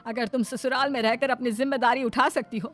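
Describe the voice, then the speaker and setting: A woman's voice: a little high, steady tone, fairly quick, pitched above the performer's own voice, close to an everyday voice. A woman voicing a middle-aged Indian storybook mother-in-law, speaking in an emphatic, instructive way. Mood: motherly, earnest. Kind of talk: storybook character dialogue. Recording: background music under the voice.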